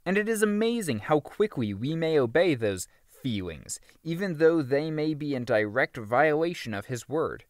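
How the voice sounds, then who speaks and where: A man narrating a religious teaching audiobook in an earnest, emphatic, deliberately phrased way. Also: on the high side, sing-song, no echo, clean studio sound. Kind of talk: scripted audiobook reading of a religious teaching book.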